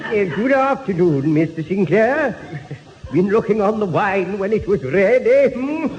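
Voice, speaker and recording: A man's voice: sits high, animated, unhurried, highs cut off. A man playing a fussy, pious, genteel vicar who is easily flustered, in an old radio sitcom. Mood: genteel greeting. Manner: genteel, ends remarks with questioning "eh? hmm?". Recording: reverberant, faintly noisy old radio recording.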